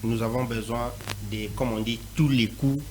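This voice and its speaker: A man's voice: medium pitch, steady tone, moderate pace, a crowd in the background, rough recording. A man from DR Congo speaking as a panelist in a televised debate, pushing rhetorical questions with growing intensity.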